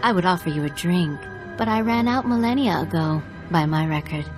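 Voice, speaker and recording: A woman's voice: on the low side, lively intonation, fairly quick, over music, close to an everyday voice. An actress playing a chatty, whimsical, ancient female archive guardian in a video game, playful and talkative.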